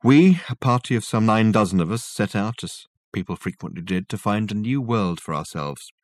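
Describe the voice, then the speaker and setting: A man voicing an ancient male alien ghost in an audiobook, grave and formal, with measured, solemn speech. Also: lowish voice, lively intonation, no echo, natural voice. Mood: grave, confessional.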